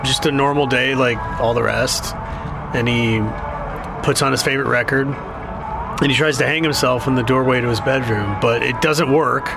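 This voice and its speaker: A male actor plays a disillusioned younger brother in an audio drama. He is sardonic and defensive, with a dry, halting, slangy delivery in a middle register. Music is clearly audible behind him. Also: mid-range voice, fairly even intonation, close to an everyday voice. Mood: deadpan. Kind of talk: naturalistic audio drama dialogue.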